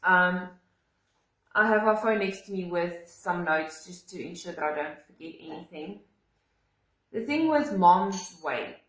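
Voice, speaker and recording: A woman's voice: fairly low pitch, echoey room, poor audio. A young South African woman whose first language is Afrikaans tells a personal story to camera with earnest emotion, often restarting her sentences. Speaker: vlogger.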